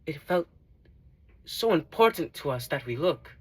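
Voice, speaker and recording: A man playing a devout former tax collector in a dramatic monologue, giving earnest, measured testimony. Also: fairly high pitch, big pitch swings, husky, full character voice. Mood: sorrowful. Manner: earnest, measured, emphatic storytelling.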